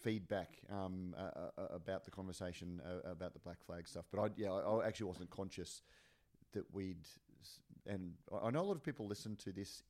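A man hosting a podcast in casual chat, his voice a bit hoarse, often stumbling and repeating words. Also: sits low, fairly even intonation, darkish tone. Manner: halting, repeats words and fills with "uh". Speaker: podcast host.

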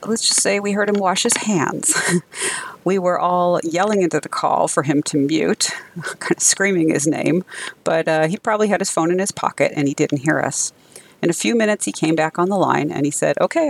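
A woman's voice: sits low, moderate pace, vocal fry, husky. A woman telling a casual personal anecdote as a guest contributor, conversational and amused. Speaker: guest contributor, technology services director.

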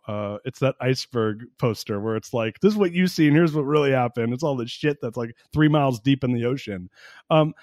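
A man in his forties hosts a casual podcast chat, excitable and animated, cursing freely and laughing often. His voice sits at an ordinary middle pitch. The sound is dry and close, with no room around it. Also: no room sound. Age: 40s. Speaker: podcast host.

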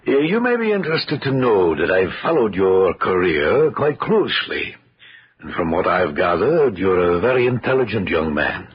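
An actor playing an elderly, exacting scientist in an old-time radio drama, formal and deliberate, with hesitant phrasing. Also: male voice, deep voice, big pitch swings, breathy edge, heavily acted. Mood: frank, intent. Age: older. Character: elderly, exacting scientist and father, courteous but intense. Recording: dull vintage radio recording.

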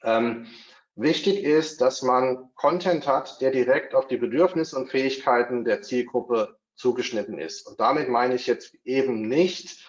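A man's voice: mid-range voice, measured pace. A bilingual man giving a webinar talk, hesitant, with many fillers and restarts. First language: bilingual, not only German. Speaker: webinar speaker on developer marketing. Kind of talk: webinar presentation. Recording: roomy, dull lo-fi webinar audio.

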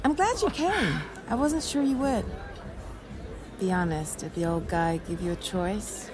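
A woman's voice: mid-range voice, lively intonation, unhurried, crowd noise, close to an everyday voice. An actress playing a brash, flirty woman who teases bluntly, in a naturalistic audio drama. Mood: playful, coaxing. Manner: blunt, teasing, crude-humoured.

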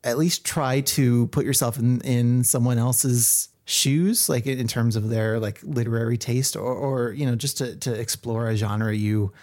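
A man's voice: medium pitch, fairly even intonation, a little slow, hardly any pauses, darkish tone. A man talking casually about books as a podcast guest, explaining his view in a thoughtful way. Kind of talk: casual book discussion.

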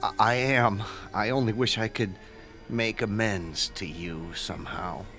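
Male actor as nervous ingratiating school counselor, young man, low pitch, unhurried halting delivery, audio drama. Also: fairly bright tone, faint music behind. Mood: sheepish. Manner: hesitant, ingratiating, halting with ums. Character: nervous, smarmy late-twenties male school guidance counselor.

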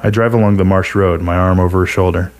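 Guy narrating a first person short story, kinda low voice. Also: steady tone, quick pace, a little mellow.

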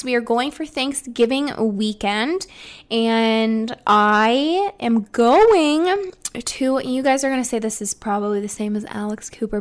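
A young woman hosting a solo podcast, talking casually in an upbeat, rambling way with a slightly congested voice. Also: a little high. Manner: chatty and upbeat, rambling with restarts. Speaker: podcast host.

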